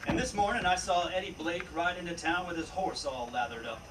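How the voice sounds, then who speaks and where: A man playing a steady, resolute Old West federal marshal in a Western radio drama. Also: high voice, fairly quick, close to an everyday voice. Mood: deductive. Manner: confident, commanding. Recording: distant stage microphone, noisy room.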